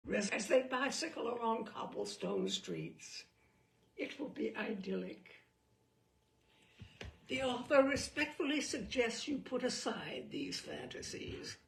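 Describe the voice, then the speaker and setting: A woman reading a humorous book aloud as a storyteller, in a deliberate, theatrical and wry manner. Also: on the high side, vocal fry. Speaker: reader of humorous book selections. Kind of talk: dramatic storytelling read-aloud. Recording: distant microphone.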